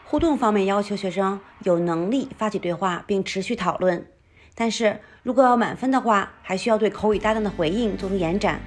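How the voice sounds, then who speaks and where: A woman's voice: medium pitch, fairly quick, a little hiss or hum. Woman narrating an exam prep lesson.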